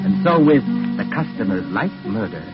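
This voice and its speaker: A man narrating a 1940s radio mystery series as its announcer, in a deliberate, suspenseful, dramatic style. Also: on the low side, big pitch swings. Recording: muffled old radio recording. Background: loud music.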